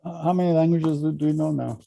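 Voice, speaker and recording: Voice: medium pitch, steady tone, quick pace, vocal fry, warm tone, no room sound. An interviewer asking brief, encouraging questions.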